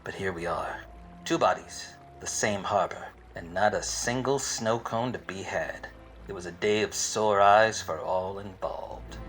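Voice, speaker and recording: An actor playing a wise-cracking, obtuse hard-boiled private eye in a parody of 1940s detective radio plays, with wordy deadpan delivery. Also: male voice, on the low side, unhurried, over music, close to an everyday voice. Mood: brooding deadpan. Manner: deadpan, wordy noir narration.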